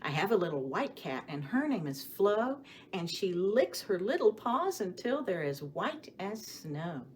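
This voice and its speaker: A woman reading stories and rhymes aloud for young children as a storytime host, with a sing-song, expressive delivery, often putting questions to the children. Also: medium pitch. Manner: addresses children directly with questions and pauses for answers. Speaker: children's librarian hosting storytime. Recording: clearly audible background noise.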